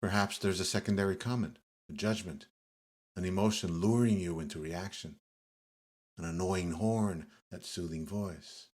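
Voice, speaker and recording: A man leading a guided meditation as the guide, speaking in measured short phrases with pauses between gentle instructions. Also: low voice. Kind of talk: guided yoga nidra meditation.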